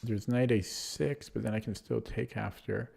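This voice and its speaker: A man's voice: fairly low pitch, fairly even intonation, moderate pace, gravelly, a little mellow, husky. A man streaming online chess, thinking aloud through his moves with a hesitant, self-deprecating manner and frequent fillers. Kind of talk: live game commentary.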